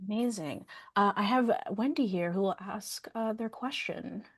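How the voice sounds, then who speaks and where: A woman's voice: medium pitch, unhurried, slightly rough. A woman moderator hosting an online question session informally and reading out questions from the audience.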